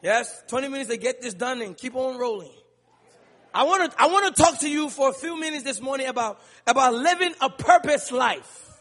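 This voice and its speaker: High-voiced preacher in an echoing church. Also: male voice, animated, a little fast. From Liberia. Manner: rhythmic call-and-response preaching, building to shouts. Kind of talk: sermon. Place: large church sanctuary. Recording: long church reverb.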